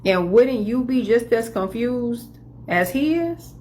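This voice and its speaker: A woman giving an opinion monologue, mocking demands in quick sarcastic bursts. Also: a little high, expressive, a little mellow, a little hiss or hum.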